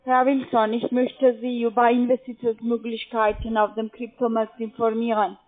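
A woman with a rather high voice delivers a scripted sales pitch as a non-native speaker. She works as a call centre adviser. The sound is thin and tinny, as on a telephone. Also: level tone, normal speed. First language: not German. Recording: narrow, tinny phone line.

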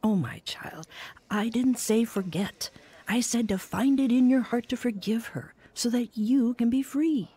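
Husky, motherly older woman. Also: fairly high pitch, unhurried. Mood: gentle, encouraging. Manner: gentle, nurturing, counselling. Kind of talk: audio drama dialogue.

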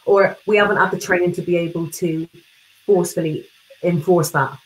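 A woman's voice: on the low side, steady tone, moderate pace, poor audio. A woman hosting an interview over a video call, talking in a hesitant way with likes and ums. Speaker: interviewer, host. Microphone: webcam on video call.

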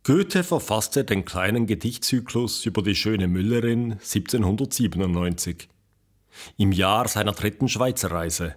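A man narrating a scripted documentary radio play as its storyteller, with a husky voice. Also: lowish voice, animated, normal speed.

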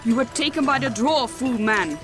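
Actress as a stern, commanding fantasy matriarch over music. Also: female voice, a little high, animated, moderate pace, natural voice. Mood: stern, scolding. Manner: imperious, scolding, formal archaic phrasing. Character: stern, commanding woman healer and mother, scornful of fools. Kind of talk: fantasy audio drama acting. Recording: loud music behind the voice.

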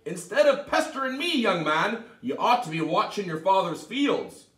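A man's voice: sits high, big pitch swings, slow pace, pitched above the performer's own voice, full character voice. A man voicing a small, sly old male leprechaun cobbler who talks sharply and scolds. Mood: scolding. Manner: sharp, scolding, whining when caught. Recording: echoey room, mic at a distance.

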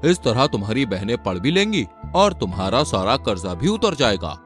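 Pushy, patronising cartoon boss, played by a man, very animated pitch, mid register. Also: normal speed, close to an everyday voice. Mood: insistent. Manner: insistent, patronising. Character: pushy middle-aged male bus owner, calculating. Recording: music behind.

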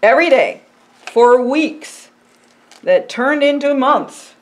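A woman in her sixties who is a pastor, reading a picture book aloud in simple, deliberate phrases and explaining it as to a child. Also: high-pitched, expressive, low-quality recording. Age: 60s. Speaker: pastor and storytime reader. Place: indoor storytime set by a fireplace.

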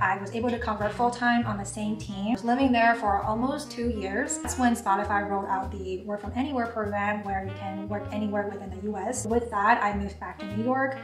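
A woman's voice: mid-range voice, recorded from a distance. Twenty-something woman, chatty vlog q&a, echoey kitchen, music under it. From Taiwan. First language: Mandarin. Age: 20s. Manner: chatty, frequent "like" fillers. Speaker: YouTuber. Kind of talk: casual Q&A vlog. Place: home kitchen, indoors. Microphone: camera across the counter.

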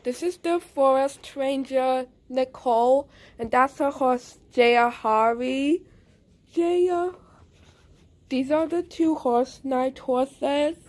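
Slow-talking young girl giving a tour. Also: high-pitched, a little mellow. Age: under 10. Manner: names characters one by one, halting restarts. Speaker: tour guide of her game world. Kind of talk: casual show-and-tell narration. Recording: music playing behind.

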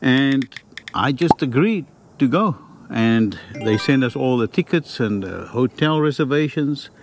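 A man in his sixties chatting casually as host of a travel vlog, in a halting way that repeats phrases. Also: medium pitch, expressive, soft background music. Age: 60s. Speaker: vlog host, roofing contractor. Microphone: clip-on lapel mic. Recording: noisy on-location vlog audio.

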